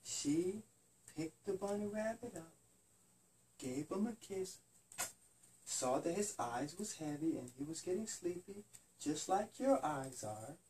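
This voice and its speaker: A man's voice: fairly high pitch, animated, a little slow, long pauses, a little mellow. A man improvising a bedtime story for a child in simple, repetitive phrases. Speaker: father telling a bedtime story. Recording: distant microphone.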